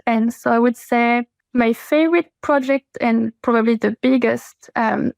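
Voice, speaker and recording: A woman's voice: a little high, gravelly, no room sound. A young woman in her twenties from France, speaking English with a French accent, chatting earnestly as an interview guest with many fillers and restarts. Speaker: guest, SEO content writer. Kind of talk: casual interview chat.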